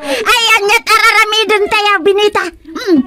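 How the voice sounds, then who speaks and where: A woman's voice: very high-pitched, moderate pace, heavy background noise. A woman acting a cheerful, chatty village woman in a comedy radio drama.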